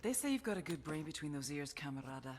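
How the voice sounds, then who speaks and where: An actress playing a tough, sardonic intelligence handler, blunt and confident, delivering scripted mission briefings in a video game. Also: female voice, low-pitched, big pitch swings, fast talker, a little mellow, a little hiss or hum. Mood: threatening.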